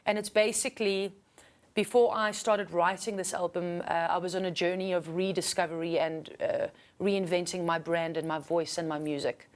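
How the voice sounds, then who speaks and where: A woman with a South African accent and a low register, talking casually and earnestly as a singer being interviewed. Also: steady tone, moderate pace. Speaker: singer and musician guest.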